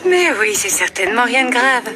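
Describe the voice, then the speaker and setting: A woman's voice: fairly high pitch, very animated, moderate pace. A woman playing a kindly, reassuring mother in a cartoon, with music underneath. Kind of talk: cartoon dub acting.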